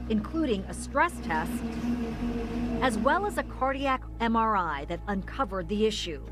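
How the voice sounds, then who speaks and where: A middle-aged woman anchoring and reporting a TV news health story in a clear, measured newsreader style. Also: mid-range voice, a bit bright. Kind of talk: scripted news narration. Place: TV studio.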